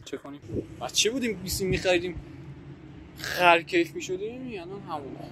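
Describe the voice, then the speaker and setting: A young man talks casually outdoors, joking and bantering as he tests radios with a friend. His voice sits slightly high. A light background hiss is present. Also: wildly swooping pitch, vocal fry. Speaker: co-host. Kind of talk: casual vlog chat.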